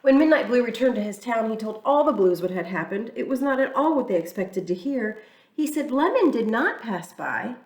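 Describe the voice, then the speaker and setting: Woman, storybook read-aloud, lively sing-song pitch, roomy echo, mic set back. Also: moderate pace, a little hiss or hum. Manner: expressive, storytelling. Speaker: church reader. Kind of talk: children's picture book read-aloud. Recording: echoey room, mic far off.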